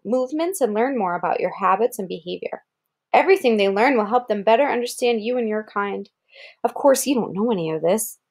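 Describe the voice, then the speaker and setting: A woman reading an article aloud as if to a child, pausing to explain words and point things out. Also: mid-range voice. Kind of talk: read-aloud narration with casual asides.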